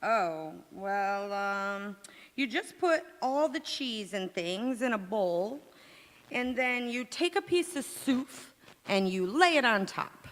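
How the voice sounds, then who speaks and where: A woman's voice: medium pitch, expressive, slow pace, a bit bright. A woman playing a feisty, sarcastic housewife in 1940s radio comedy style, with dry, wisecracking retorts. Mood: bluffing. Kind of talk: 1940s radio comedy acting, staged revival. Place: community theatre stage in a church. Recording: echoey hall, long reverb.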